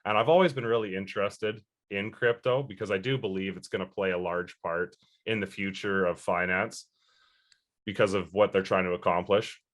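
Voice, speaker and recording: Man in his thirties, low voice, earnest and rambling, interview guest. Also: fairly even intonation, normal speed, a bit bright. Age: 30s. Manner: earnest, rambling with fillers and restarts. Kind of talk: casual interview answers.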